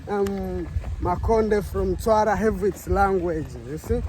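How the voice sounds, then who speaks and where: High-voiced Tanzanian man, eager and halting. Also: a little mellow, a little hiss or hum. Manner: eager, repeats words, halting. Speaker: local guest explaining history. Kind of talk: casual chat.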